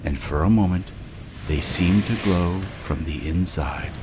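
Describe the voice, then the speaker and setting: A man narrating a scripted guided meditation in a measured way, with long pauses between short phrases. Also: deep voice, background noise.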